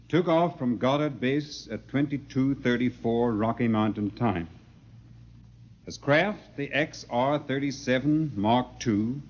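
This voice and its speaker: An actor playing a harried military information officer in a 1950s radio drama, giving a clipped, official, slightly halting briefing. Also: male voice, medium pitch, natural voice. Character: harried, official male air force information colonel. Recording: dull vintage 1950s radio recording.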